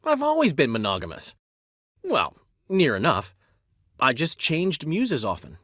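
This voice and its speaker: A man's voice: fairly high pitch, huge pitch swings, unhurried, fairly bright tone, dry recording, clean studio sound, heavily acted. An actor voicing a flamboyant, charming and vain middle-aged male bard, with a theatrical, lilting delivery. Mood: playful. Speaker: game character voice. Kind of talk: game voice lines.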